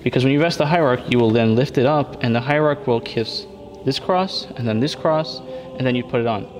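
A man in a church calmly demonstrating how to fold and lay out vestments, repeating steps and checking in with "okay?". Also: mid-range voice, fairly quick, noisy background. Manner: patient, step-by-step, repeats himself with frequent "okay?" checks. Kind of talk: hands-on instructional demonstration. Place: church interior at a table. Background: background music.

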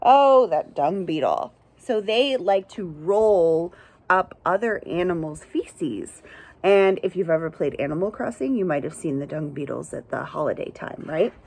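A woman's voice: on the low side, expressive, a little slow, darkish tone, husky. A young woman reading a picture book to children as a librarian, explaining simply and checking in with her listeners. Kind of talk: children's read-aloud with asides. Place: outdoors under trees by a house.

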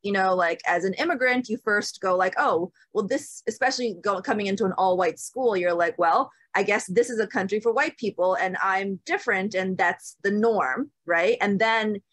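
Animated woman in her thirties, dry close sound. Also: medium pitch, dry recording. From Japan, raised in the US Midwest. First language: Japanese. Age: 30s. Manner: animated, quick, many "like"s. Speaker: filmmaker. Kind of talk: casual conversation. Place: room at home. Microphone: video call.

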